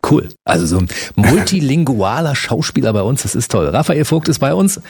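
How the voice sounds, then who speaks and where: A male host who talks quickly, with a gravelly and slightly husky voice. He asks his guest friendly questions to keep him talking about his life. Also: mid-range voice, sing-song, a little mellow. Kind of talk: casual interview.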